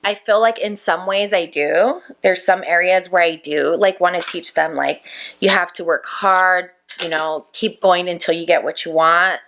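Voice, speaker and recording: Woman, 30s, vlogger, gravelly low tone, chatty and reflective. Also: steady tone, normal speed, fairly bright tone, a little hiss or hum. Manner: chatty, reflective, full of "like" fillers. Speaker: vlogger and makeup business owner. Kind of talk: casual chat to camera while doing makeup.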